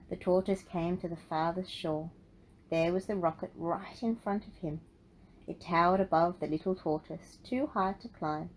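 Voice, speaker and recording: A woman narrating a children's storybook in an expressive, deliberate way. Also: fairly low pitch, slight background noise, low-quality recording. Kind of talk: storybook read-aloud for children.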